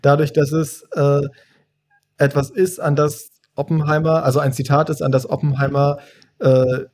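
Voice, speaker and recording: A young adult man co-hosting a podcast, chatting casually about films in a measured, thoughtful way with frequent hesitations. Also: on the high side, little pitch movement, darkish tone. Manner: measured and thoughtful, hedging with fillers. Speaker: podcast co-host. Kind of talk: casual film-discussion chat.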